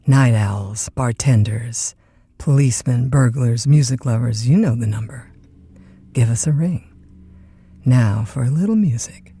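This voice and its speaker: An actress playing a chatty, easygoing overnight radio disc jockey in an audio drama, with a folksy, informal manner. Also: female voice, very deep voice, animated, unhurried, dark tone, husky, no room sound, heavily acted. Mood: friendly on-air. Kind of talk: naturalistic audio drama acting.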